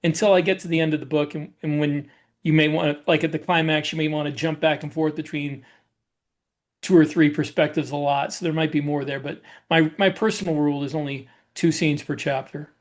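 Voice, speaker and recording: Male co-host, slightly high-pitched, informal conversational explainer for a writing podcast. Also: steady tone, a little fast. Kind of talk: casual chat about craft.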